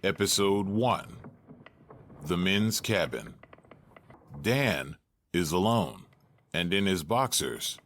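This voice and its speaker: Deep guy narrating a script, unhurried, measured, just stating the action. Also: big pitch swings, a bit bright, slight background noise. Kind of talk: scripted stage-direction narration.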